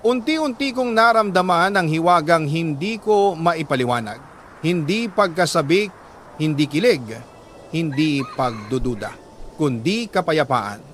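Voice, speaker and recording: Male radio storyteller, sentimental dramatic read, unhurried with long pauses, slight background noise. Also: a little high, fairly bright tone. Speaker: radio storyteller reading a listener's letter. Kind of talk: dramatic scripted narration of a love story.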